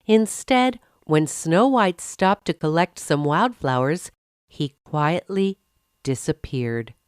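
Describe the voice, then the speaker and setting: Low-voiced, expressive woman storyteller. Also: slow, clear, resonant tone, dry recording, clean studio sound. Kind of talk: scripted children's fairy-tale narration.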